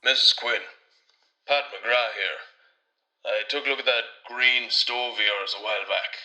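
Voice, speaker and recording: A man's voice: mid-range voice, steady tone, a little slow, sharp tone, echoey room, rough recording. A man playing a neighbourly Irish tradesman who talks in a hesitant, roundabout, deferential way on a radio drama voicemail. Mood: awkward concern. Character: neighbourly Irish tradesman, awkwardly breaking worrying news.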